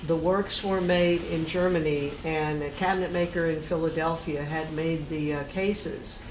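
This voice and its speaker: A mature woman with an American accent, explaining family history casually and in detail, pausing to recollect. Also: deep voice, steady tone. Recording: echoey room with clear noise.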